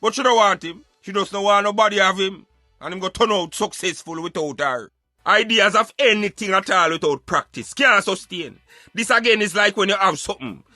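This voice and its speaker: A man's voice: high voice, a little fast, bright, forceful tone, dry recording, clean studio sound, faint music behind. A Jamaican man giving emphatic, opinionated social commentary in Patois, like a street philosopher preaching on a radio show.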